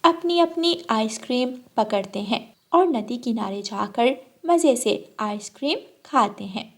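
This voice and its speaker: Woman narrator for children's cartoon tales, higher register, scripted storytelling delivery. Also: expressive, a little slow.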